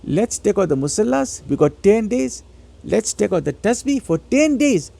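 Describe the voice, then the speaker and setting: A man with a high, very creaky voice delivers a motivational Islamic lecture. His tone is husky, and he exhorts listeners with emphatic repetition. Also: sing-song, normal speed, warm tone, no room sound. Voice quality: raspy, gravelly. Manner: emphatic, exhortatory, repeats phrases for stress. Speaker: religious lecturer.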